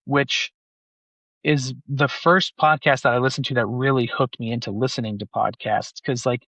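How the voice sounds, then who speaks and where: Bright-voiced man in his forties. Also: medium pitch, fairly even intonation, normal speed, no room sound. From Utah, USA. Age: 40s. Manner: rambling, filler-heavy, many "like"s. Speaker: banker, guest. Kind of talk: casual interview chat.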